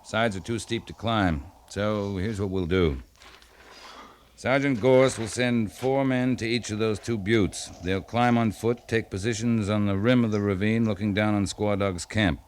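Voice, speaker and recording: Man acting firm, low-voiced American cavalry captain. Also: a little slow, lightly acted. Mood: firm, methodical. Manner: clipped, authoritative orders. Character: seasoned cavalry captain, firm, decisive and measured. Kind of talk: 1950s radio western acting. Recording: noisy 1950s radio broadcast recording.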